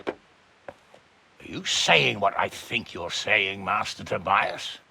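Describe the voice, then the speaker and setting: A man's voice: fairly low pitch, expressive, slow, vocal fry, sharp tone, husky, exaggerated voice. An actor playing a blunt, foul-mouthed and explosive medieval nobleman in a dramatic game cutscene. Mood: irritated.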